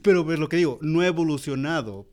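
A man's voice: fairly high pitch, fast. A man co-hosting a casual podcast chat, easygoing and agreeable, often chiming in. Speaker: podcast co-host.

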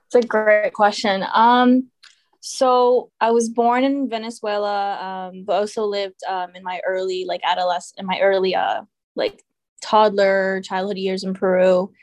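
A woman's voice: medium pitch, level tone, unhurried, no room sound. A young woman from Connecticut, talking as a podcast guest in a chatty way, full of fillers and hedges. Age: young adult. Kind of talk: casual podcast interview.

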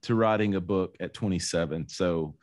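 Male host, slightly low voice, easygoing and slangy. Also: normal speed, darkish tone. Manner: laid-back and slangy. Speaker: podcast host. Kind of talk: casual interview chat.

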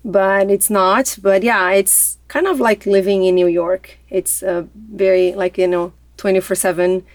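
A woman from Brazil with a Brazilian Portuguese accent, chatting in a relaxed way as a podcast guest. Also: medium pitch, unhurried, no room sound. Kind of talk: interview conversation.

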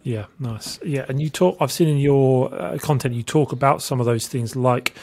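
A man hosting an interview, asking questions in an encouraging, casual way with halting, restarted phrasing. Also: mid-range voice, creaky, a little mellow. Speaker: podcast host, interviewer.

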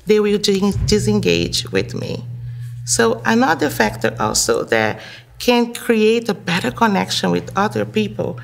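Gravelly-voiced woman, thoughtful pace. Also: mid-range voice. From Brazil. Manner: thoughtful, with frequent fillers and restarts. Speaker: psychotherapist and humanitarian guest. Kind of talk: reflective interview talk.

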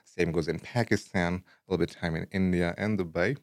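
A young man from Afghanistan, a guest in a casual interview, talking in a relaxed way with many "like, you know" fillers and easy laughs. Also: low voice, brisk, no echo, studio quality.

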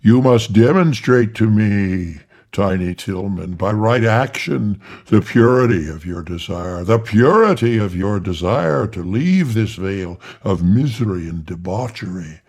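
A man voicing a trickster who poses as an archangel, thundering slowly and grandly. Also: fairly low pitch, lively intonation, darkish tone, husky, full character voice.

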